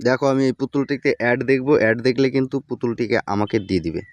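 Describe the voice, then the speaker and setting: A man's voice: mid-range voice, rapid-fire, no echo. A man narrating an informal video tutorial, addressing viewers familiarly and often repeating himself and his catchphrases. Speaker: tutorial presenter.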